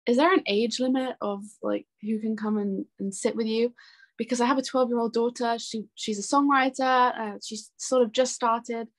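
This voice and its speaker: A young woman talking casually as an interview guest, telling anecdotes in a chatty, giggly way. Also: fairly high pitch. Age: young adult. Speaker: interview guest, singer-songwriter.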